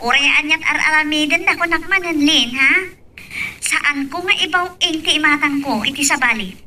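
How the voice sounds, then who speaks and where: A woman's voice: sits high, normal speed, pushed and bright, far from the mic, a little hiss or hum. A woman with an Ilocano accent playing an insistent, possessive young woman in love in a radio drama.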